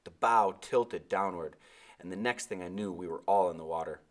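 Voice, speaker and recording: A man narrating an adventure novel aloud in an expressive storytelling style. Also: low-pitched, normal speed.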